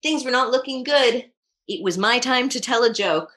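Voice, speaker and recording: A woman's voice: on the high side, moderate pace, fairly bright tone. A woman hosting a storytime, reading a children's picture book aloud expressively. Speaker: storytime reader and host.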